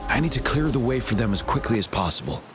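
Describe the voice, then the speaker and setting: An actor voicing a stern, resolute military general in a video game, formal and commanding in manner. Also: male voice, medium pitch, lively intonation, fast talker, over music, close to an everyday voice. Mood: composed, determined.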